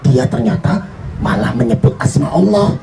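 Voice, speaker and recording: A man playing a sly mustached tempter in a live children's story, with a gravelly, wheedling, theatrical delivery. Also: high-pitched, expressive, normal speed, background noise. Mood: conceding.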